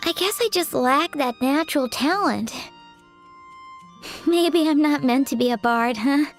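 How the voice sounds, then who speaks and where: A woman's voice: high voice, animated, a little slow. A woman voicing a shy, earnest young aspiring bard in a video game, hesitant and apologetic in the way she talks. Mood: wistful, anxious. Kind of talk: video game character acting. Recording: music behind the voice.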